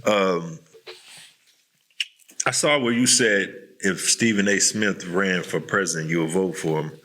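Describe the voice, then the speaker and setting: Deep-voiced, blunt man hosting a podcast. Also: unhurried, a bit bright, low-quality recording. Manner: blunt, profanity-laced, slangy. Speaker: podcast host. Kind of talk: casual chat, candid opinion.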